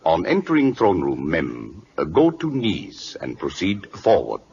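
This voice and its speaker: A grave Siamese prime minister in an old radio drama. His low, husky voice swings widely in pitch while staying formal and dry. He talks in clipped broken English with a Siamese accent, on a dull vintage recording. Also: huge pitch swings, measured pace, a little mellow, exaggerated voice. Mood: formal, warning. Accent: put-on Siamese. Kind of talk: 1940s radio drama acting.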